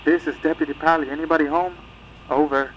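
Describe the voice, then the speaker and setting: An actor playing an earnest, dutiful small-town deputy reporting in procedural talk over a police radio in an audio drama. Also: male voice, a little high, steady tone, quick pace, fairly bright tone. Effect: police radio filter. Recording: muffled radio, faint noise.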